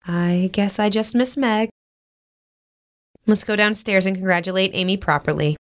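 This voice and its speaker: A woman acting in a modern audio drama as a tender, reassuring middle-aged mother, speaking gently and earnestly. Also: medium pitch, normal speed, natural voice. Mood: wistful, encouraging.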